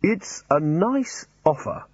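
Guy doing a resigned mild-mannered adventurer in an audiobook, wry and polite, a bit raspy and muffled. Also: high voice, very animated, slow, darkish tone, no echo, pitched above the performer's own voice, exaggerated voice. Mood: reluctant.

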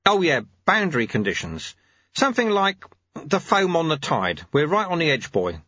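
A man's voice: fairly high pitch, wildly swooping pitch, moderate pace, sharp tone, slightly rough, dry recording, dull audio, voice pushed up, exaggerated voice. A man voicing a wily, cheerful old sweeper who coaxes and teases in colloquial speech, as an audiobook character. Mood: chatty, reassuring. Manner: colloquial, chirpy, coaxing.

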